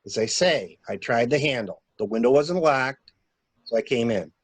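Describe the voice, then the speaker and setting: A man's voice: mid-range voice, animated, normal speed, slightly rough, no echo. A man playing a talkative, flustered stranded engineer with a polite, stammering, theatrical manner in a murder mystery reading. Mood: explaining. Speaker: actor in an amateur library reading.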